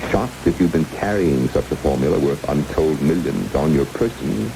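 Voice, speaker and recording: A very low, creaky-voiced kidnapper from an old radio play. He speaks with smooth, unhurried courtesy that carries menace. Hiss and rain sit clearly over the broadcast. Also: expressive, full character voice. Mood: calmly menacing. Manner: unhurried, mock-genial, menacing politeness. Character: smooth, courteous kidnapper who sells state secrets. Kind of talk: vintage radio drama acting.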